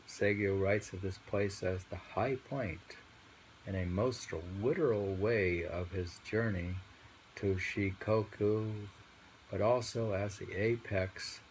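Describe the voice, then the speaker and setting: A man reading poetry aloud and commenting informally, in a deliberate, musing, halting way. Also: sits low, slight background noise, low-quality recording.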